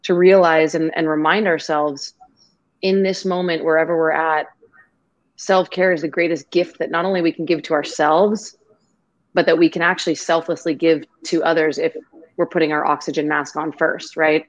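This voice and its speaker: A woman with a low voice speaks as a guest over a video call. She talks in an effusive, grateful and rambling way. The audio is dull and lo-fi. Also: steady tone, normal speed. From half Greek, half Irish family. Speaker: podcast guest. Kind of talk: casual video-call interview.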